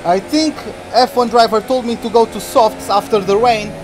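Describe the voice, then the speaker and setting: A man from Portugal, streaming a racing game and chatting excitedly through the action. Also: high-pitched, moderate pace, noisy background. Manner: chatty, reacts excitedly to the race. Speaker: gaming streamer. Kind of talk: live game commentary.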